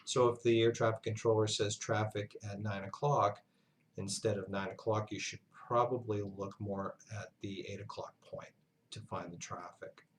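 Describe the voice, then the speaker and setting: A man giving an instructional lesson as a flight instructor, speaking in a measured, halting way with pauses mid-sentence. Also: lowish voice, fairly even intonation, a little hiss or hum. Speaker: flight instructor, university lecturer. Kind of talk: instructional narration, explanatory lesson.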